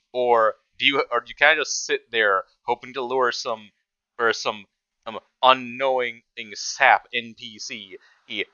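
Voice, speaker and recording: A man's voice: medium pitch, bright, forceful tone, clean tone, no room sound, muffled recording. A man giving casual off-the-cuff reaction commentary, rambling and stammering as he restarts his sentences. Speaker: reaction video host.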